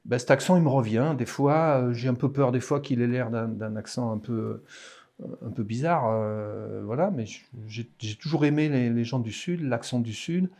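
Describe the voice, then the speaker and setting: A man in his sixties with a Parisian French accent, an author talking about his writing in a hesitant, halting way in a casual interview. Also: medium pitch, darkish tone. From France. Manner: hesitant, halting, many restarts.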